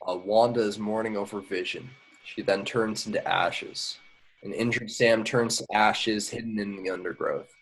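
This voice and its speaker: A man with a fairly low voice reads action descriptions from a screenplay. The delivery is informal narration, with the odd stumble over words, as at a home table read. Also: fairly even intonation, normal speed, husky, poor audio. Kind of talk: reading screenplay stage directions aloud.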